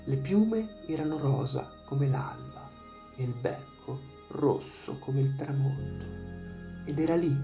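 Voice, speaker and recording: Storyteller reading a fairy tale, creaky medium pitch voice, instrumental music behind it. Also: expressive, takes it slowly. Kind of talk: scripted fairy tale narration.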